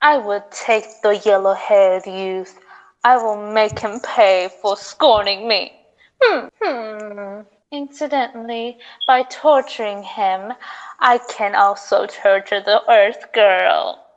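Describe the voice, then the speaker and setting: A woman's voice: medium pitch, close to an everyday voice. Slightly muffled audio carries a woman playing a haughty, vengeful space princess from an old-time radio serial. She speaks coldly and imperiously, taking her time over each scornful phrase. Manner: cold, deliberate, imperious delivery.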